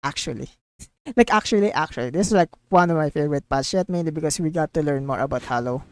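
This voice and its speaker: Lower-voiced gushing woman game streamer. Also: quick pace, no echo. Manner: gushing and excitable, exclaims and repeats herself. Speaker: streamer playing a video game. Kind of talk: live game stream commentary, reading dialogue aloud. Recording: background game music. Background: game music.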